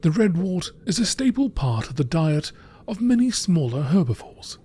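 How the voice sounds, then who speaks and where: A man reading scripted science-fiction encyclopedia entries in a measured, formal nature documentary style. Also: a little high, sing-song, mellow, breathy edge. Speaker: narrator reading game lore entries.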